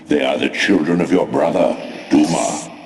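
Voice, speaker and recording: An actor playing an ancient godlike spirit in a video game, solemn, commanding and oracular, with theatrical delivery. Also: male voice, extremely low pitch, moderate pace. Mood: stern, instructive. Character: ancient, ominous godlike spirit guiding an undead protégé. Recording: cavernous reverb, noisy game audio.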